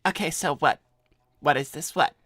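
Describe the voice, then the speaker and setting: A man's voice: fairly high pitch, moderate pace, a bit bright. A man voicing a cocky, touchy adult hedgehog ladies' man in improvised livestream banter, with a pompous, defensive manner. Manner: defensive, pompous new-age phrasing.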